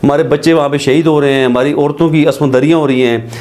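A middle-aged man with a Pakistani Urdu accent speaks in a voice pitched slightly high for a man. He delivers studio commentary to camera in an earnest, measured way, stopping often on hesitant fillers. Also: fairly even intonation, darkish tone. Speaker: advocate commentator. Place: studio with green screen backdrop.

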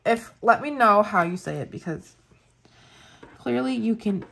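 A woman's voice: mid-range voice, animated, measured pace, gravelly, fairly bright tone, slight background noise. A woman in her thirties with a Spanish accent, chatting casually and gushing over planner products, with lots of fillers. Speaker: planner vlogger. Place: indoors at a table, overhead camera on hands.

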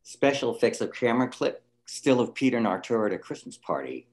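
A man's voice: mid-range voice, very animated, fast. A man reading scene headings and stage directions in scripted narration at a table reading.

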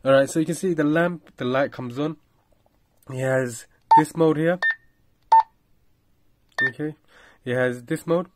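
A man's voice: on the high side, a little slow, long pauses, heavy background noise. A man giving a casual product review in a plain-spoken, slightly hesitant explaining manner.

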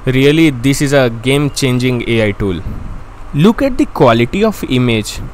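A young man with an Indian accent, a native Hindi speaker, slowly walking through a software tutorial step by step. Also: mid-range voice, a little hiss or hum. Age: young adult. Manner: slow, step-by-step, excitable. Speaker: tutorial host. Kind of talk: screen-recorded software tutorial. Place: indoor room. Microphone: handheld foam-covered mic.

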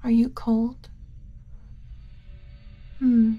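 A woman voicing a gentle, doting alien who coaxes a human like a small child, in a soft ASMR roleplay with frequent whispering. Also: a little high, flat delivery, highs cut off, soft background music. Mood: tender, curious. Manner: coaxing, slow, motherly, talking as if to a small child.

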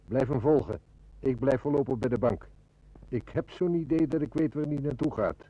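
Man playing seasoned police inspector, wry, measured, mid-range voice, detective radio play. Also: medium pitch, dull audio, natural voice. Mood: composed. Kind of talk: Dutch radio detective drama.

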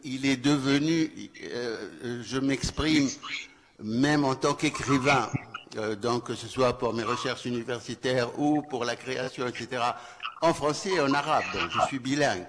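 An older Tunisian man, a professor of French, speaking on a panel in a measured, reflective way, with hesitant fillers and a handheld mic. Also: medium pitch. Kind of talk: round-table talk. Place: large festival hall with a stage curtain. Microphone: handheld microphone. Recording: reverberant hall, noisy background.

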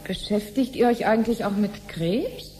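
An actress playing a curious, casual visitor in a radio drama, chatting and asking questions. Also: female voice, mid-range voice, moderate pace, mellow, lightly acted. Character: curious female visitor, casual friend of the researcher. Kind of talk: 1970s German radio drama acting. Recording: clear background noise.

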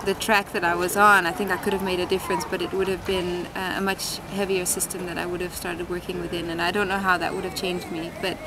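A woman in her thirties from Denmark, thinking aloud in an interview with many pauses and fillers, seated at an outdoor street table. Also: fairly low pitch, flat delivery. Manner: thoughtful, many ums and restarts. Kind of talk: reflective interview answers. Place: outdoors at a street-side table by a tiled wall. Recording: loud city traffic noise behind.

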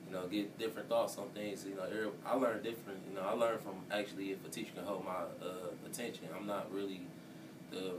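A man's voice: sits low, fast. A 22-year-old male student from Milwaukee, rambling through interview answers with many "you know" fillers. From Milwaukee, Wisconsin. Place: office computer room, indoors. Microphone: distant camera mic. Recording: distant mic with steady hiss.

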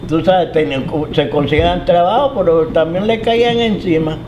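Older Puerto Rican man, interviewee, fairly high voice, stop-start storytelling. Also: background noise. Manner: halting, repetitive, conversational storytelling. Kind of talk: oral history recollection.

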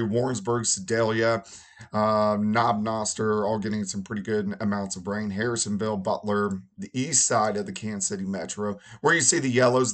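A hoarse meteorologist in his forties talks through the weather in a loose, chatty way, with a lowish voice. He speaks from a small home office at his desk, close to his webcam. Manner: relaxed, conversational with fillers. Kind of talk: casual weather forecast.

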